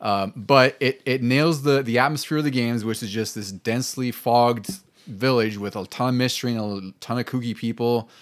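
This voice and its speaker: A man's voice: mid-range voice, normal speed. A man on a podcast panel giving his opinions on movies in a casual, conversational style.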